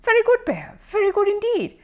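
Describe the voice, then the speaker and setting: Woman playing a gruff, grumpy older man. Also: extremely high pitch, huge pitch swings, fairly quick, highs cut off, pitched above the performer's own voice, full character voice. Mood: impressed. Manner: gruff, blustering. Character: gruff, grumpy older male neighbour, easily outraged, blustering. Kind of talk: children's storybook character reading.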